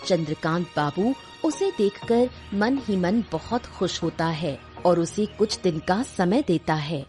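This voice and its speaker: A woman's voice: lowish voice, animated, slow, a little mellow, faint music behind. A woman narrating a scripted story.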